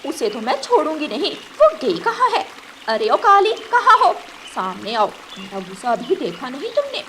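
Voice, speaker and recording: A woman's voice: high-pitched, very animated, normal speed, bright, forceful tone, a little hiss or hum, pitched above the performer's own voice, full character voice. A woman voicing a bad-tempered, haughty, scolding female crow in a cartoon. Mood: furious.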